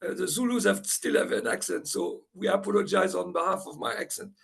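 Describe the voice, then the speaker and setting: A man from Morocco with a Moroccan accent, speaking as a panel respondent at a report launch in halting, apologetic remarks that correct themselves. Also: high voice, gravelly, a bit bright, husky. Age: older.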